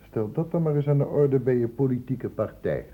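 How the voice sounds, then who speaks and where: A man's voice: medium pitch, animated, normal speed, close to an everyday voice. Man playing a stern, lecturing doctor, tinny radio sound. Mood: sardonic, irritated. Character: stern male doctor, patient but testy and lecturing. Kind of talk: Dutch radio drama acting. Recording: muffled, narrow-band old radio recording, noisy.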